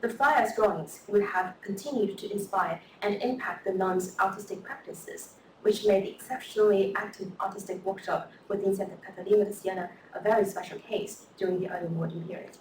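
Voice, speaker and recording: A woman's voice: mid-range voice, a little fast, noisy background. Woman reading a paper in an echoey hall. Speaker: conference speaker. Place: lecture room. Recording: echoey lecture hall, distant mic.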